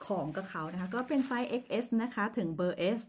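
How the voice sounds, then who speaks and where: A woman in her thirties from Thailand chatting to viewers in a casual haul vlog, recorded on a phone in a small bright room. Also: mid-range voice, animated, quick pace, a little hiss or hum. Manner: chatty and friendly, addresses viewers often. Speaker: vlogger.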